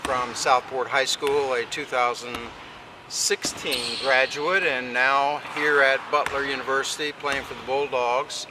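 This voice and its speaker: Man, American, sports radio interviewer, medium-pitched voice, halting drawn-out delivery, clear background noise of a basketball fieldhouse. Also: fairly even intonation. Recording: clear ambient noise of a gym.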